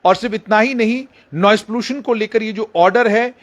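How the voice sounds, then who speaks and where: A man's voice: high voice, fairly quick, fairly bright tone. A man explaining news directly to viewers as a reporter, emphatically repeating phrases.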